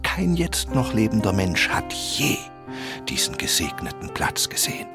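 An actor playing a wise, kindly, wistful old half-dwarf tutor in a children's radio play, speaking solemnly and deliberately. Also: male voice, fairly low pitch, wildly swooping pitch, full character voice. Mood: hopeful, earnest. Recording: music behind the voice. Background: clear background music.

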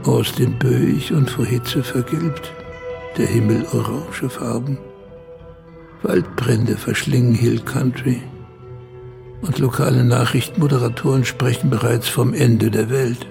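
Sly male narrator, deep fry and gravel, wry asides, radio play, music behind. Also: steady tone, a little slow. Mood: wry, grave.

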